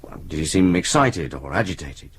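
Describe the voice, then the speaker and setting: Uneasy english son in law asking careful questions, voice moves a lot, radio play, bit of background noise. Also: male voice, sits low, big pitch swings, moderate pace, fairly bright tone. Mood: probing. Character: probing, uneasy son-in-law trying to placate. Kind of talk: naturalistic radio drama acting.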